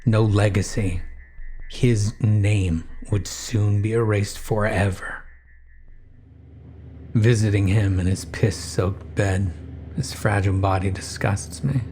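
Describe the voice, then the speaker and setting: A man narrating a first-person horror story in a grave, intense, dramatic way with drawn-out phrasing. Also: on the low side, steady tone, mellow, a little hiss or hum.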